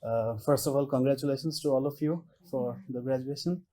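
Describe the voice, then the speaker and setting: Young PhD student, formal, mellow mid voice. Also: male voice, medium pitch. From India. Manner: formal, measured. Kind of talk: polite self-introduction.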